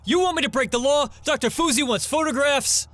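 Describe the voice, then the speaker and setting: A man's voice: very high-pitched, a little fast, fairly bright tone, dry recording. A man playing an anxious, cornered young ex-con in an audio drama, hesitant, stammering and deferential. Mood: panicked.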